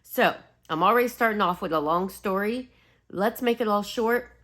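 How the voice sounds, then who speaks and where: A woman vlogger chatting casually and rambling apologetically, slightly raspy and sniffly as she gets over a cold. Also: medium pitch, moderate pace, fairly bright tone. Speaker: host of a home fragrance video channel. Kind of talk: casual rambling vlog chat.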